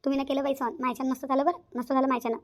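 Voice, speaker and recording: A woman's voice: high voice, little pitch movement, very fast, natural voice. A woman playing a blunt, headstrong young daughter-in-law with a Varhadi accent in a cartoon family drama. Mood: assertive. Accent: rural Maharashtra, Varhadi. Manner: outspoken, insistent, combative.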